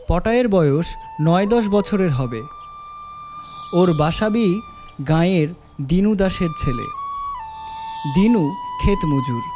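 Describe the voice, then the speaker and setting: A man narrates a scripted story in a fairly high voice. Music plays clearly behind his narration.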